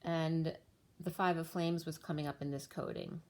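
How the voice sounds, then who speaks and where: Woman doing a tarot read, kinda low voice, losing it, rambles on and on. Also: steady tone, normal speed, a little mellow. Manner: rambling, stream-of-thought explanations. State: losing her voice. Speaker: tarot reader. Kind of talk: casual spiritual reading talk.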